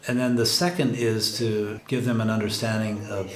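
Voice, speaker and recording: A man giving an explanatory, documentary-interview style account of a production, pausing mid-sentence to find his words. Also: lowish voice, flat delivery. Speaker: radio drama project lead explaining the production. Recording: roomy echo with loud music behind. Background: music with guitar.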